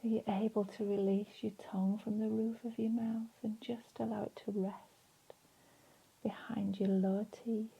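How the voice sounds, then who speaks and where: A woman leading a guided relaxation in a soft, breathy, soothing voice, leaving long pauses between phrases. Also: mid-range voice, flat delivery, lo-fi sound. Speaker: meditation and relaxation instructor.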